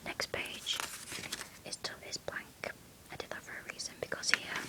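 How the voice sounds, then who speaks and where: A woman in her twenties whispering fast through her journal as a vlogger, recorded on an iPod. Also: poor audio. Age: 20s. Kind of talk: whispered journal show-and-tell.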